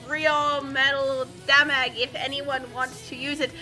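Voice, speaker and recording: A woman's voice: sits high, level tone, moderate pace, bright, forceful tone, faint music behind. A woman reading a story aloud in a playful, dramatic way, with casual asides as a co-host.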